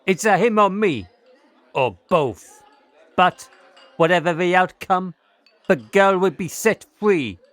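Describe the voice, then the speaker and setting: Fiery young nobleman, high-pitched and defiant. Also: expressive, takes it slowly, long pauses, fairly bright tone, no echo, some chatter behind, heavily acted. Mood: defiant, commanding. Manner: declamatory, defiant. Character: fiery, proud young Venetian nobleman demanding a duel. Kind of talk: radio drama acting.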